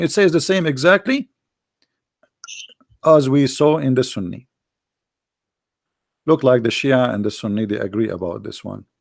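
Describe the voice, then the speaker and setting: A man hosting a talk show, mocking and sarcastic, going hoarse as he loses his voice. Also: medium pitch, darkish tone, dry recording, studio quality. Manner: mocking, sarcastic, with a non-native grammar. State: losing his voice. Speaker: host.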